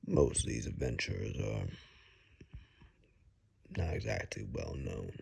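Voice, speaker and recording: A man playing a wary, blunt woodsman guide in a hushed ASMR roleplay, speaking in a husky, halting, matter-of-fact near-whisper. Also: extremely low pitch, expressive, background noise, exaggerated voice. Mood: grim, matter-of-fact. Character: wary, blunt male woodsman guide who knows monsters.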